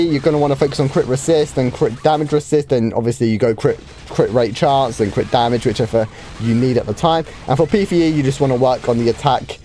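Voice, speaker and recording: A man, a bit hoarse from a starting cold, easily answering viewer questions on a live game stream. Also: mid-range voice, dark tone. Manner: chatty, easygoing, with frequent ums and light laughs.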